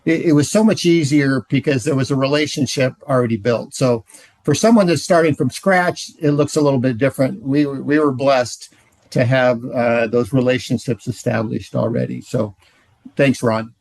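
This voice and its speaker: A man in his sixties, a marriage ministry director, sharing his experience on a video call with frequent pauses and fillers. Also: medium pitch, creaky, a little mellow, dry recording. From Central California. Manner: hesitant, many fillers.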